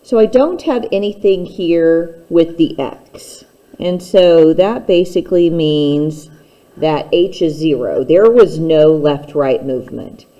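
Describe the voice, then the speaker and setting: A woman's voice: low-pitched, slow, mellow, highs cut off. A woman teacher giving a step-by-step instructional math lesson, speaking plainly and patiently straight to the learner.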